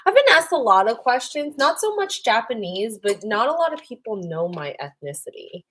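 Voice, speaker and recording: A young woman casually co-hosting a livestream, chatty and animated, reading out viewer questions and swearing freely. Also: on the high side, moderate pace.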